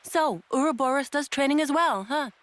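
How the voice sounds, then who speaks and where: A woman's voice: sits high, moderate pace, slight background noise, close to an everyday voice. An actress playing a brusque, aloof young female rebel in a video game, speaking in a blunt, dismissive way. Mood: probing, curt. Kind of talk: video game cutscene acting. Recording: clear background music.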